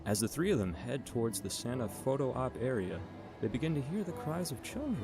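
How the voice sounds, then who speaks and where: A man's voice: medium pitch, very animated, a little fast, music in the background. A man narrating a radio drama as a scripted storyteller. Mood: storytelling.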